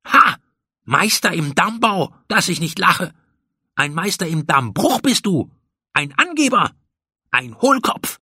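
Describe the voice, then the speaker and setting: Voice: medium pitch, sing-song, takes it slowly, pushed and bright, breathy edge, no room sound, clean studio sound, pitched above the performer's own voice, full character voice. A narrator voicing an emphatic, hot-tempered young boy rabbit from a children's storybook. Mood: furious, mocking. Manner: exclamatory, emphatic, aggrieved.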